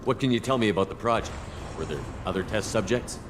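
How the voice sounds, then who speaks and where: A man's voice: lowish voice, fast talker, close to an everyday voice. A man playing a terse, direct military commander in a video game. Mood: probing. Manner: direct, clipped questioning. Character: terse, questioning male military commander seeking answers. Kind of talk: video game character acting. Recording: noisy battle ambience behind.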